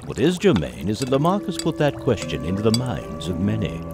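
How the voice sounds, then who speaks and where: Audio drama villain: greedy period company boss, pompous and cold, lively lowish male voice over music. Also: fairly quick. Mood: calculating. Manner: formal, pompous period diction. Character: scheming, greedy 1830s English elixir-company boss, cold and calculating. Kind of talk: family audio drama acting.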